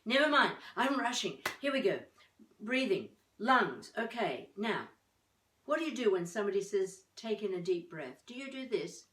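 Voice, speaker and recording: An older woman giving an informal voice-coaching lesson to camera in an expressive, chatty, encouraging way with playful asides. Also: medium pitch, moderate pace. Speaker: voice coach presenting a tutorial. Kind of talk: informal instructional talk to camera. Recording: echoey room, distant microphone.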